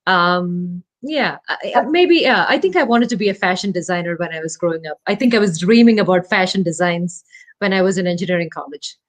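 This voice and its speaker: A woman whose first language is Malayalam, speaking as an interview guest from her home over a remote call. She talks thoughtfully and modestly, pausing and restarting with fillers as she searches for the right words. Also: lowish voice. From India. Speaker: guest, startup CEO. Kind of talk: casual interview talk.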